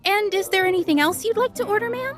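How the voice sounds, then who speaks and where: A woman's voice: very high voice, fast talker. A woman voicing a polite, eager young restaurant waitress in a video game. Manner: polite, service-minded. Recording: game music behind.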